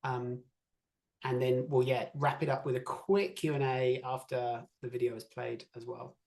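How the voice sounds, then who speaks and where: A woman's voice: rumbling low, steady tone, moderate pace, a little mellow. A woman casually moderating an online session in an informal, tentative way. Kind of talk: casual hosting of an online meeting.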